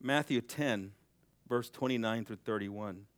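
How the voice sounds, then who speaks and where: A young adult man preaching a sermon, swinging from loud, shouted exhortation to quiet, intimate passages. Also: fairly low pitch, a little slow, dry recording.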